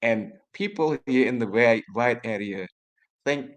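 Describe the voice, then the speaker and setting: A man from Java, a native Javanese speaker with an Indonesian accent, giving an academic lecture in a formal, deliberate way and often addressing his audience directly. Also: mid-range voice, expressive. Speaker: guest lecturer, academic researcher. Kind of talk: academic lecture on research methods.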